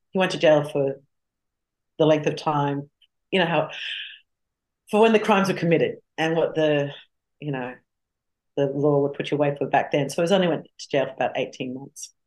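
A woman's voice: low voice, a little mellow, poor audio. Frank middle-aged Australian woman. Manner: frank and reflective, laughs easily. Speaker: guest. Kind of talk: candid interview conversation.

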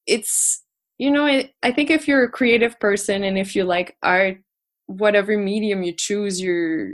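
A woman's voice: medium pitch, unhurried. A woman, a singer, talking casually as a podcast guest, with many restarts. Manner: hesitant, many restarts and laughs. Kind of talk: casual conversation.

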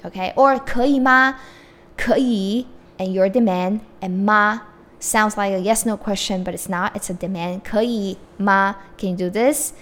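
A woman's voice: mid-range voice, a bit bright. Woman teaching Chinese phrases. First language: Mandarin Chinese. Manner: switches between English explanation and slow modeled Chinese phrases. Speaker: Chinese teacher and podcast host. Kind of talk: casual language lesson.

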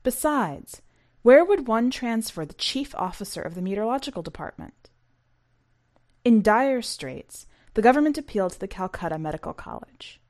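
A woman's voice: on the high side, sing-song, normal speed, darkish tone. A woman hosting a fiction podcast, speaking in a scripted, read-aloud presenting and narrating style. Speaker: podcast host and story reader.

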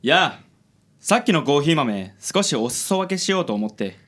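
An actor playing a gallant, self-admiring handsome man, smooth-talking and theatrically cool, sprinkling English words in a radio comedy. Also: on the high side, very animated, normal speed, background noise. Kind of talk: radio comedy drama acting.

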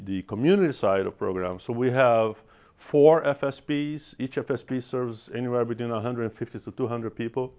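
A man's voice: mid-range voice, a little mellow, clean tone. A middle-aged man with a Brazilian accent, giving a presentation to an audience and often restarting and repeating words. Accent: Brazilian Portuguese. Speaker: regional director of mental health programs. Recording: dull lo-fi sound.

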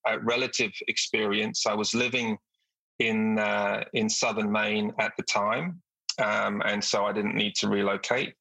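A middle-aged British man from the UK, a company executive speaking as a podcast guest, measured with frequent hesitations. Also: on the low side, vocal fry, pushed and bright, no echo, low-quality recording. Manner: measured, with frequent ums and uhs. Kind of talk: podcast interview.

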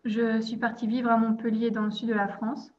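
Flat-voiced Belgian woman, muffled audio. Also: on the high side, moderate pace. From Belgium, province of Liège. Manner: hesitant, many "euh" fillers, laughs lightly. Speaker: podcast guest. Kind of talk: casual interview chat.

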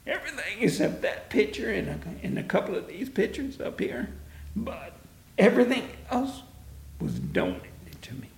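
An older man with a gravelly, strained voice, telling his story in an animated, laughing way. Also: high-pitched, moderate pace, reverberant. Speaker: interviewee, museum founder. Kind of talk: storytelling interview. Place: his museum, indoors.

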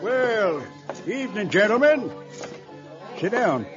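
An actor playing a sly, mock-innocent old rancher with a rural Western American drawl and a rough, husky voice. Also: male voice, sits high, sing-song, takes it slowly, heavily acted. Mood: wily, indignant. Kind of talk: 1950s radio western acting. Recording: muffled old radio with music.

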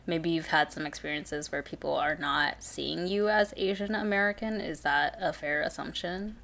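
A woman's voice: deep voice, normal speed, vocal fry, a bit bright. A woman hosting a podcast, asking tentative, considerate questions. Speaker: podcast host. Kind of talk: casual interview.

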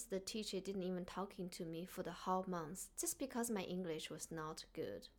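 A woman from China with a Chinese accent, speaking earnestly and softly as the host of a reflective solo podcast. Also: medium pitch.

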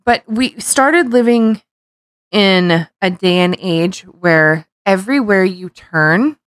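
A woman's voice: medium pitch, expressive, slow, smooth, dry recording. A middle-aged woman co-hosting a podcast, talking in a heated, rambling way with frequent restarts and swearing. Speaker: podcast co-host. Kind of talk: casual opinion chat.

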